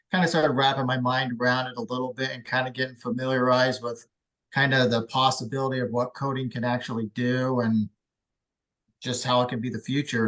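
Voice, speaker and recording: A man's voice: medium pitch, a bit bright, rough recording. A man introducing himself to an online class, rambling with lots of fillers over a video call. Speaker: student. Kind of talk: self-introduction.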